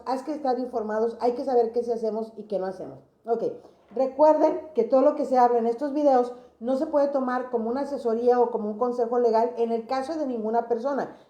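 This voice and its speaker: High-voiced woman in an echoey room. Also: mellow. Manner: didactic, repeats phrases for emphasis. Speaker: host of an immigration advice channel. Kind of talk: informal explainer talk to viewers. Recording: echoey room, dull lo-fi sound.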